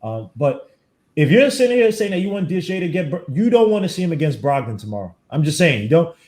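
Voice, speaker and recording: Fast-talking animated man in an echoey room. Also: fairly high pitch. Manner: fast, animated, emphatic arguing. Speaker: podcast host. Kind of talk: casual sports debate.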